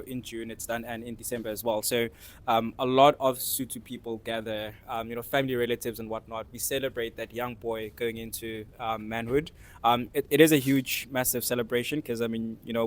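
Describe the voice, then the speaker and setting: A man with a South African accent explaining things casually as a panellist, in hesitant, halting phrases. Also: medium pitch. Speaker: discussion panellist.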